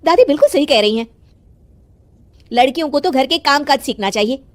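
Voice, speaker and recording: Woman acting a high, chiding mother. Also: expressive. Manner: chiding, brisk. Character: scolding mother siding with the grandmother.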